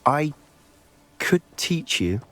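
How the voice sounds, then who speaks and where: A man's voice: on the high side, very animated, drawn-out words, fairly bright tone, exaggerated voice. A man voicing a guilt-ridden, defensive teenage wizard with a British accent in a dramatic video game cutscene. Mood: resolute. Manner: earnest, emotionally intense.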